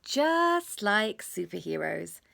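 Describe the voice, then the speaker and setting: Gentle, slow-talking storybook mum, read by a woman. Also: medium pitch, very animated, vocal fry, heavily acted. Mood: warm. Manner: soothing, encouraging, explaining simply. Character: William's gentle, reassuring mummy in a children's storybook.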